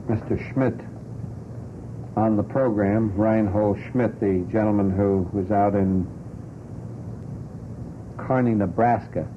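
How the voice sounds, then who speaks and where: A man's voice: lowish voice, phone-quality sound, a little hiss or hum. A man hosting a radio panel talk show, talking in a digressive way and putting pointed questions on an old broadcast.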